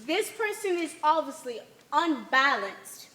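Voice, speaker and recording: A woman's voice: high-pitched, big pitch swings, a little slow, sharp tone, exaggerated voice. A woman playing an alien cell member in an amateur stage play. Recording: echoey stage, distant from microphone.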